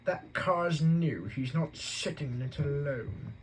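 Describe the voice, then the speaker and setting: A man reading an audiobook voices a grumpy, blustering uncle who growls out slow threats. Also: fairly high pitch, expressive, slight background noise, voice pushed up. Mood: gruff. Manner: gruff, drawn-out threats.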